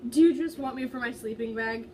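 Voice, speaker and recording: Woman voicing a hesitant young man, high-pitched. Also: natural voice. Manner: halting. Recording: distant mic with loud background noise.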